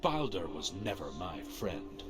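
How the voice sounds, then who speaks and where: A man playing a solemn storyteller of old Norse myths in a video game, narrating in a grave, measured way. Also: low voice, sing-song, music in the background, exaggerated voice. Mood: dramatic.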